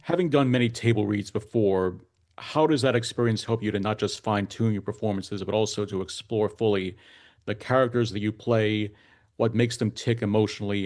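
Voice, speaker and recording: Male interviewer, creaky voice, formal and measured podcast hosting. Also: lowish voice, fairly even intonation, darkish tone. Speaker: podcast host. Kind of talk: scripted interview questions.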